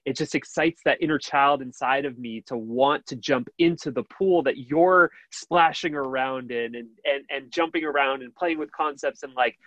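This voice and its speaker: A man's voice: moderate pace, few pauses, a bit bright, no room sound, rough recording. Nasal-voiced man in rambling chat. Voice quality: high nasal voice. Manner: rambling, many fillers and restarts, laughs easily. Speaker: radio host. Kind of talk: casual interview chat.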